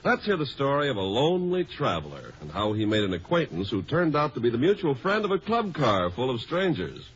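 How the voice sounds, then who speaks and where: A man's voice: mid-range voice, sing-song, brisk, a little hiss or hum. A man working as an announcer on a 1950s radio show, in scripted announcing style. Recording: dull vintage radio recording. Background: faint music.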